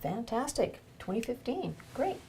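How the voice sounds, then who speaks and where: A woman's voice: fairly high pitch, sing-song, unhurried, dark tone, slightly rough. Woman clinician teaching an eye exam. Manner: encouraging with the patient. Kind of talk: instructional demonstration with a patient.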